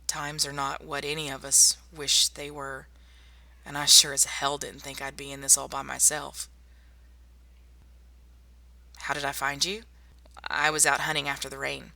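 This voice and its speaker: Tough, wry young female zombie-apocalypse survivor, played by a woman, intimate ASMR roleplay, creaky delivery, close dry sound. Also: very deep voice, flat delivery, moderate pace, no echo, heavily acted. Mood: wry, friendly. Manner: soft-spoken, intimate, addressing one listener. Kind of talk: ASMR roleplay audio drama.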